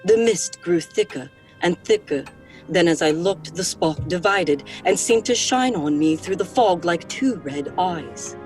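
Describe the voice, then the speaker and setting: A haunted woman in a theatrical horror drama. She speaks very slowly and deliberately, as if entranced by eerie visions, with soft music behind her. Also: fairly low pitch, animated. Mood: uneasy, dreamy. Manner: hushed, deliberate, dreamlike.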